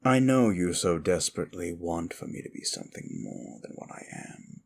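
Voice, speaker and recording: A man playing a wicked, contemptuous immortal demon in an ASMR roleplay, speaking in a theatrical, brooding way with a gravelly voice and deliberate pauses. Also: expressive, a little mellow, exaggerated voice. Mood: cold, contemptuous. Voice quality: low, gravelly. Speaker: audio roleplay performer. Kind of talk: ASMR roleplay monologue, addressed to the listener.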